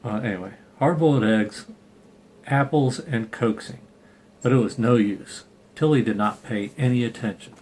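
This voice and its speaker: A man's voice: medium pitch, darkish tone. A man reading a children's picture book aloud informally, with irreverent, sarcastic asides. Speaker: storybook reader and channel host. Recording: clearly noisy home recording.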